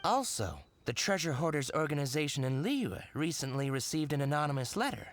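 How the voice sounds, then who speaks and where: Underworld informant for a game: deep for a woman, evasive, playfully secretive, lively intonation. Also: a little fast, slight background noise. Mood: flustered. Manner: coy, hesitant cover-ups, storytelling flourish. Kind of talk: video game character dialogue.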